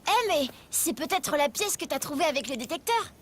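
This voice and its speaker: A woman voicing a logical, eager child explorer in a children's cartoon, reasoning things out brightly. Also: sits high, lively intonation, normal speed, slight background noise. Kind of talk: dubbed children's cartoon acting.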